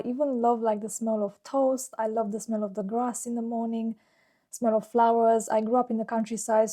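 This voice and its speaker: A woman chats in a relaxed, personal vlog style. She sits a little above the usual pitch for a woman but keeps a fairly even melody. Her tone is soft and mellow, and she speaks fondly, with plenty of "like" fillers. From Romania. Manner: chatty and fond, with frequent "like" fillers. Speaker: fragrance YouTuber. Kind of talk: casual personal vlog talk.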